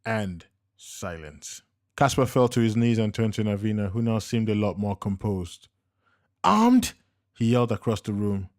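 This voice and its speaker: Low-voiced man narrating a thriller. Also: normal speed, darkish tone, no echo, clean studio sound. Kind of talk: scripted audiobook narration.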